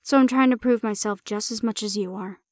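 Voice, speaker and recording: A woman's voice: mid-range voice, a little fast, dry recording, lightly acted. Girl voicing a cocky handler woman, blunt n teasing, not scared of anyone, audio rp, dry close sound. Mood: firm, challenging. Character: bold, cocky non-human female handler, sardonic and unafraid. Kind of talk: audio roleplay acting.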